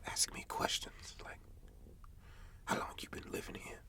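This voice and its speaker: A man's voice: pushed and bright, close to an everyday voice. Man acting a whispering, spooked farmer. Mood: troubled, hushed. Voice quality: breathy whisper. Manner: slow folksy storytelling, repeating phrases. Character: rural farmer man, spooked, troubled, God-fearing. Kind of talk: whispered ASMR roleplay monologue.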